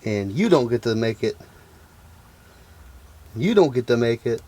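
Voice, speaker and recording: A man with a raspy voice from being sick, chatting upbeat to viewers while walking through a small backyard greenhouse. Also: mid-range voice, very animated, a little slow, dark tone. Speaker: urban homestead vlogger. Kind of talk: casual walkthrough vlog.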